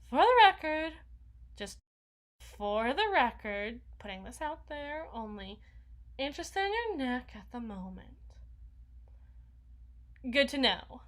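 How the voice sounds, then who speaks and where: A woman's voice: high-pitched, sing-song, slow pace, long pauses, full character voice. A woman voicing an awkward, needy, housebound young vampire in an ASMR roleplay, rambling and stammering as she corrects herself. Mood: awkward, defensive. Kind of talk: intimate ASMR roleplay monologue.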